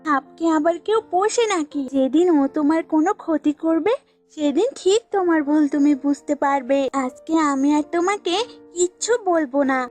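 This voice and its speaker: A woman's voice: sits high, moderate pace, a little mellow, lightly acted. A woman voicing a jealous, sulky pet goat in a cartoon fable, complaining and scolding. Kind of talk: cartoon voice acting in a children's fable. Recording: music bed behind the voice.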